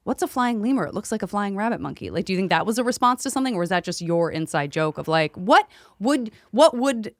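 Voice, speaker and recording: Woman, podcast host, fast pace, playful, effusive, casual banter, dry close sound. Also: mid-range voice, animated, no room sound.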